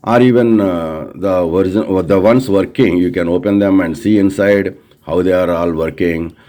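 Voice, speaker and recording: A man's voice: low-pitched, normal speed, mellow. A man with an Indian accent teaching in an informal, hands-on way, repeating phrases and asking rhetorical questions as he addresses listeners directly. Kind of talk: informal hands-on technical explanation.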